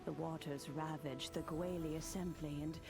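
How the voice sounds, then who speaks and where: A low-voiced solemn storyteller of legends. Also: female voice, steady tone, moderate pace, creaky. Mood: solemn storytelling. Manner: stately, reverent. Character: solemn, wise woman storyteller of ancient legends. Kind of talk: scripted narration. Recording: prominent music bed.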